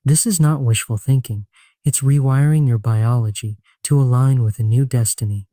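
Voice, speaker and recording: Mellow man guiding a sleep meditation. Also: medium pitch, no room sound, studio quality. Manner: solemn, measured, earnest delivery. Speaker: meditation guide. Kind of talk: scripted guided sleep meditation narration.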